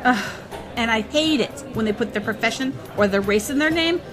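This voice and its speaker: Brash, confident swordswoman played by a woman, highish voice. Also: lively intonation, normal speed, crowd noise, natural voice, low-quality recording. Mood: scornful. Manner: bold, blunt, boastful. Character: brash, confident female swordswoman, sexy and boastful. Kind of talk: fantasy comedy audio drama acting. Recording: music under the voice.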